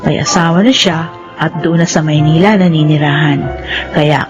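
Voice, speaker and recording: An actress voicing a soft-spoken young woman. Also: low-pitched, moderate pace, over music, natural voice. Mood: wistful. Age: 20s. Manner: soft-spoken, earnest, inward narration. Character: young woman Lynette, new college graduate. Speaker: narrator and lead character. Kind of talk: radio drama acting. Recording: muffled vintage radio broadcast.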